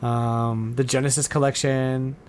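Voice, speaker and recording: A man hosting a podcast, chatting casually and informally, often halting and repeating words as he goes. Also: mid-range voice, little pitch movement, a little mellow, slight background noise. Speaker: podcast host. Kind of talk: casual chat about retro game news.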